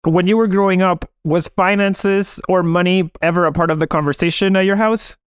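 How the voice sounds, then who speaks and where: A man's voice: high-pitched, fairly even intonation, normal speed, no echo, clean studio sound. A man hosting an interview, opening with scripted narration and then asking his guest questions. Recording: clear music bed under voice.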